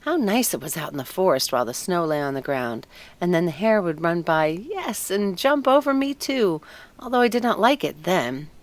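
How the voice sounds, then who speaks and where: Woman as a wistful young fir tree, very expressive. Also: lowish voice, normal speed. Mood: lonely, wistful. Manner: musing, earnest, self-absorbed. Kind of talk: storybook character voice.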